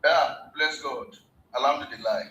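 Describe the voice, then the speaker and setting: A man's voice: mid-range voice, normal speed, pushed and bright, highs cut off. A man interviewing a guest, asking polite, hesitant questions. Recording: echoey room with faint background noise.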